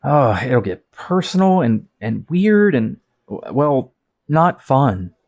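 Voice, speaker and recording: A man's voice: medium pitch, big pitch swings, slow, darkish tone, heavily acted. A man playing a hesitant, awkward young superhero who is recovering and downcast, in an audio drama.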